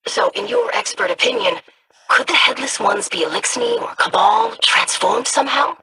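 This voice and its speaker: A woman's voice: on the high side, expressive, normal speed, background noise, lightly acted. A woman playing a composed, analytical commander who asks formal, probing questions in game voice lines. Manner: formal, inquiring tone.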